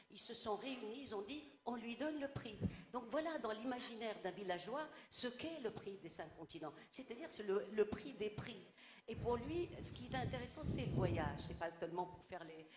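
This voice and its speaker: A woman's voice: a little high, roomy sound, in a large hall, background noise. A woman from Tunisia whose first language is Arabic, speaking as a writer on a discussion panel, reflective and full of stories. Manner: reflective, storytelling. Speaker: guest writer.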